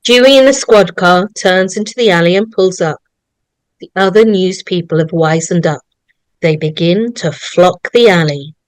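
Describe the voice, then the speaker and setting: A woman reading scripted stage directions as narrator, deliberate and storytelling. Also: on the low side, no echo, rough recording.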